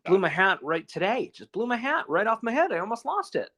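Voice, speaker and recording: A man's voice: sits high, sing-song, fairly quick, pushed and bright. A man co-hosting a casual podcast over a phone call, talking in a rambling, casually profane way. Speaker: podcast co-host.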